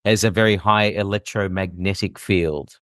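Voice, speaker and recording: A man's voice: low voice, fairly even intonation, normal speed, no room sound, clean studio sound. A man hosting a podcast interview, asking curious prompting questions. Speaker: podcast host. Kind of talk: casual interview.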